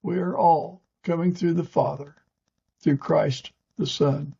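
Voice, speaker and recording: A man's voice: a little high, steady tone, slow, vocal fry, dark tone, slightly rough, highs cut off. A man from the United States hosting a conversational interview podcast.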